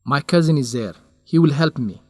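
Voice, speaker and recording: Casual: dude voicing a stubborn young researcher in love, high-ish voice, dry close mic. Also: male voice, normal speed, no room sound, soft background music, lightly acted. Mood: stubborn, earnest. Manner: earnest, defensive. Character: young male researcher, lovesick, stubborn dreamer. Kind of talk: radio drama acting.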